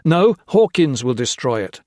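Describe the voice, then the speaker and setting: A man's voice: mid-range voice, very animated, unhurried, a little mellow, no echo, clean studio sound, pitched above the performer's own voice. A man voicing a tired, sick army officer who gives angry, commanding orders. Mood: stern. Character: exhausted, ill-tempered male officer.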